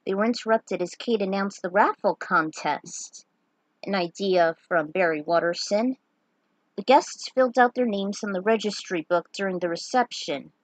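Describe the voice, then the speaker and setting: A woman reading her own fan fiction aloud as a storyteller, earnest and unhurried, with small stumbles. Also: medium pitch, no echo, low-quality recording.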